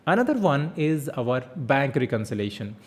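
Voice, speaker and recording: A man's voice: mid-range voice, big pitch swings, normal speed. Indian-accented man teaching a tutorial. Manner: repeats phrases and poses questions then answers them. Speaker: instructor in accounting software. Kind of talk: explanatory tutorial lecture with interview tips.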